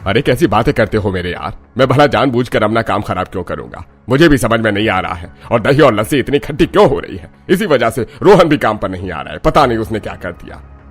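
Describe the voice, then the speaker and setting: A man's voice: fairly low pitch, sing-song, a little fast, slightly rough. A man playing a plain-spoken middle-aged townsman in a cartoon story. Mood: puzzled.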